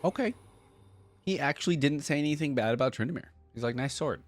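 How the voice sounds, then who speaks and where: A man's voice: fairly high pitch, animated, a little fast, slight background noise. A man hosting a reaction video, commenting casually in short, laid-back remarks as he muses aloud.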